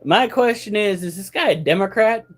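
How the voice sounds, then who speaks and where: A man's voice: high voice, normal speed. A man in his thirties hosting a pet channel, talking casually and bluntly, breaking into profane rants. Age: 30s. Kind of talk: casual monologue.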